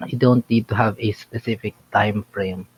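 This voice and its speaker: A man's voice: lowish voice, steady tone, moderate pace, a little hiss or hum. A young man chatting casually in an online voice call, rambling through restarts and fillers. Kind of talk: casual chat. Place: home, online voice chat. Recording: dull voice-chat audio.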